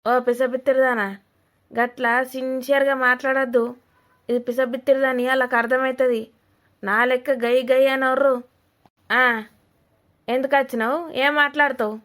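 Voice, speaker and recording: Old Telangana village granny for a comedy cartoon, female performer: high-pitched, monotone, blunt, mocking. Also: moderate pace, long pauses. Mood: mocking, scolding. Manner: blunt, earthy, mocking, informal.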